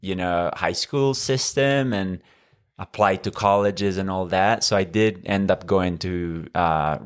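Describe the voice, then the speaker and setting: A man in his thirties from Northern Italy, speaking English with an Italian accent as a podcast guest, measured and full of fillers. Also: low voice, smooth. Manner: measured, with frequent fillers and restarts. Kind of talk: conversational interview.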